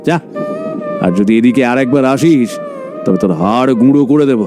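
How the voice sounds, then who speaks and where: A man's voice: medium pitch, brisk, music in the background, close to an everyday voice. A man voicing a greedy, heartless middle-aged shopkeeper in a cartoon story, speaking harshly and dismissively. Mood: angry, threatening.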